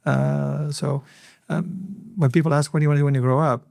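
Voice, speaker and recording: A man in his fifties from Lebanon, an Arabic speaker, recounting his life in an earnest, reflective interview with many filler pauses. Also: a little high, level tone, gravelly, a little mellow, no room sound, studio quality. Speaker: hospital CEO, podcast guest. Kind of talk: interview storytelling.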